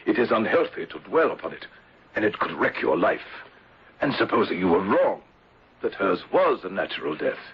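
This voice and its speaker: An actor playing a courteous, concerned older English gentleman in a radio mystery drama, speaking in a measured, formal manner. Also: lowish voice, sing-song, slightly rough, heavily acted. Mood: sober concern. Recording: dull lo-fi radio broadcast, faint noise.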